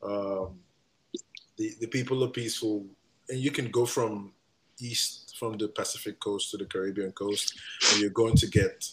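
A man's voice: lowish voice, fairly even intonation, normal speed, fairly bright tone, husky, poor audio. Chatty Nigerian man in his thirties. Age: 30s. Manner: laughs often, many fillers. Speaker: podcast guest. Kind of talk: casual interview chat.

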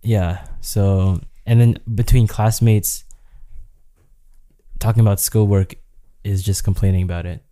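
A young woman, co-hosting a casual podcast. Her voice sits far lower than most women's and sounds dark and mellow. She talks frankly and jokes drily, leaning on "like" as she goes. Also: steady tone, a little slow. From United States. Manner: frank, dry and joking, with many "like" fillers. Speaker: podcast co-host. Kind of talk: casual chat among friends.

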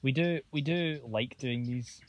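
A man's voice: mid-range voice, measured pace, slight background noise. A man co-hosting a podcast, chatting casually outdoors with dry, teasing banter. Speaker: podcast co-host. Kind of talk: casual chat. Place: outdoors near a railway line.

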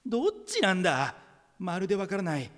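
Male actor as panicky young brother, agitated, high-pitched, stammering, radio drama monologue, long reverb. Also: sing-song, fairly quick, fairly bright tone. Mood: anxious, agitated. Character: panicky younger brother agonizing over his blind brother. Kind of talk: audio drama inner monologue.

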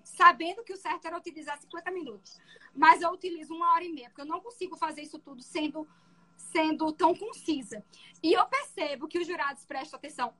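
A woman defense lawyer with a soft voice, explaining her courtroom methods in an interview. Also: very high-pitched.

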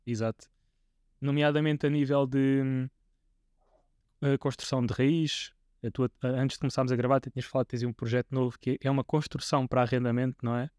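A man's voice: medium pitch, flat delivery, a little fast, a little mellow, clear, resonant tone, no room sound, studio quality. Portuguese man hosting a podcast. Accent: European Portuguese. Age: 20s. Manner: hesitant, many restarts and fillers. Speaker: podcast host. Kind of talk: casual interview.

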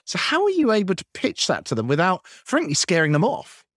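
A man's voice: high voice, huge pitch swings, fairly quick, a bit bright, dry recording, studio quality. A man interviewing conversationally as a host, probing and rephrasing what he hears.

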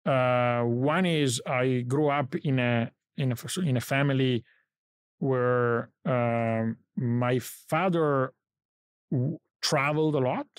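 A middle-aged Italian-accented man speaks as a podcast guest, his pitch sitting squarely in the middle range. He pauses often to think aloud as he recounts his path, and the studio sound is close and dry with no room echo. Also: fairly even intonation, clean tone. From Italy. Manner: reflective, thinks aloud while telling his story. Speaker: guest, company founder. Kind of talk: podcast interview.

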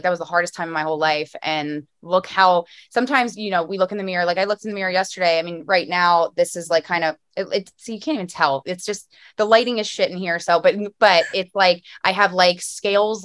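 A woman's voice: fairly low pitch, fairly bright tone, no echo. A woman with a Philadelphia accent hosting a podcast, enthusiastic and rambling, laughing often. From Philadelphia area, US. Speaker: podcast host. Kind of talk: casual interview chat.

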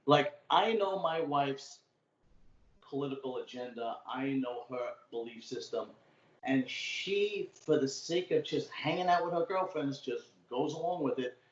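A man's voice: medium pitch, lively intonation, measured pace. A man with an old-school Brooklyn accent, a podcast guest chatting casually and telling long stories with loose swearing. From Brooklyn, New York. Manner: talkative storyteller, swearing freely. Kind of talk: casual chat. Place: home room, remote call. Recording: echoey room, dull lo-fi sound.

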